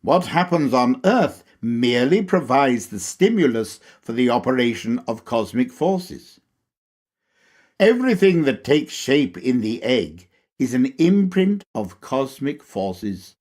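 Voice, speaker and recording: A male narrator reads a formal lecture at a slow, deliberate pace. His pitch moves widely as he sets out each phrase with measured care. The delivery is scripted and instructive. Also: very animated, darkish tone. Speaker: reader of a lecture.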